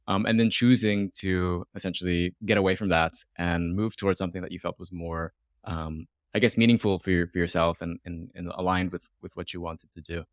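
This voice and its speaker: A man's voice: low-pitched, no echo, studio quality. A man hosting a casual interview, talking in an informal, rambling, chatty way. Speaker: interviewer and host.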